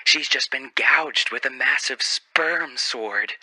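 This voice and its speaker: A man playing a lecherous, obsessed squid scientist in a comic phone interview, halting and breathily excited, through a telephone filter. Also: mid-range voice, slightly rough, no room sound, heavily acted. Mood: aroused, rapt.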